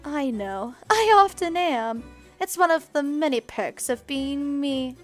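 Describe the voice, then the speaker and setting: A woman voicing a calm, poised maid who speaks smoothly and gently scolds. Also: sits high, slow, soft background music, voice pushed up. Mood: self-assured. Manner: smooth, soothing, genteel.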